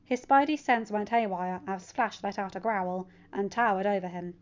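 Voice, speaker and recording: A woman's voice: medium pitch, moderate pace, dull audio. A woman reading scripted fan fiction narration as a storyteller.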